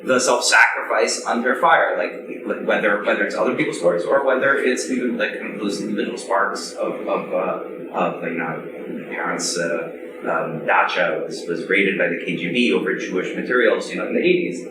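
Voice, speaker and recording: Halting man speaking in an echoey hall. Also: lowish voice. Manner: halting, many restarts and fillers. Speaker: panelist. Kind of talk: casual panel talk. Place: large room with an audience. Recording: echoey room mic from far off, crowd. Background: crowd murmur.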